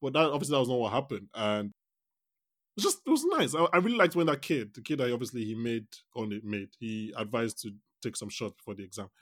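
A man hosting a casual film discussion podcast, rambling and animated, acting out quotes as he talks. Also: medium pitch, fast, dry recording, studio quality. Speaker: podcast host.

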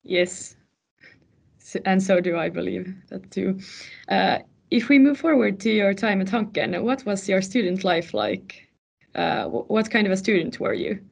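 A woman's voice: mid-range voice, measured pace, gravelly, slightly rough. A woman hosting an interview podcast and putting questions to her guest.

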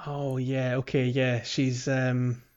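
A man's voice: medium pitch, level tone, takes it slowly, a little mellow, clear, resonant tone. Slightly muffled man in casual chat. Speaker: podcast host.

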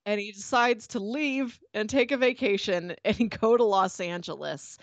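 A woman's voice: medium pitch, moderate pace, no room sound, dull audio. Chatty woman podcaster, dry close sound. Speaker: podcast host. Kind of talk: casual conversational TV review.

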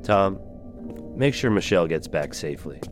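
A man's voice: deep voice, expressive, moderate pace. A man playing a curt, commanding spaceship captain in an audio drama, speaking in clipped, terse lines. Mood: guarded, firm. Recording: music under the voice.

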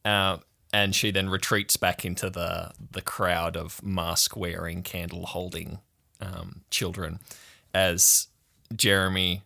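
Guy running a tabletop game, describing stuff, deepish voice but bright. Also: little pitch movement, takes it slowly, creaky. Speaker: game master of an improv show. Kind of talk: improvised tabletop game narration.

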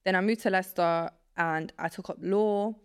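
A woman's voice: lowish voice, normal speed, smooth. A young woman in her twenties from Poland, a native Polish speaker, chatting casually as a podcast guest. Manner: relaxed, rambling, heavy use of filler words. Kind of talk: casual chat.

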